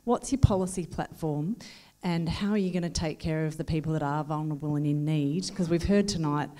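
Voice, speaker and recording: A woman in the audience asks a question at a public forum, her voice low and mellow. She speaks in a probing, thoughtful way into a handheld microphone. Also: fairly quick. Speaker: audience member asking a question. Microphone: handheld mic.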